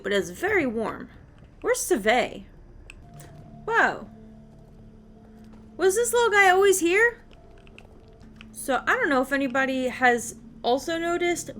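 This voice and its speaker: A woman giving casual, expressive gameplay commentary, chattily reacting aloud to the game as she plays. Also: medium pitch, slight background noise. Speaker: video game streamer.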